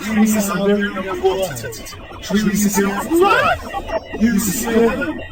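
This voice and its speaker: High-pitched, stilted alien creature. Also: male voice, sing-song, loud music behind the voice, a loud crowd, exaggerated voice. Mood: solemn, accusing. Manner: stilted, halting broken phrases. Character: odd alien, solemn, menacing, protective of trees. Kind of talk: science fiction audio drama acting.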